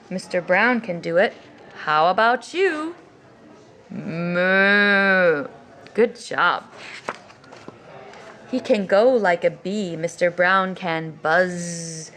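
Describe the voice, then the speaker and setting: A woman's voice: lowish voice, takes it slowly, fairly bright tone. A woman with an American accent giving an informal, explanatory talk as a teacher, presenting and reading children's books for English learners. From United States. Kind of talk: educational talk with read-aloud of picture books.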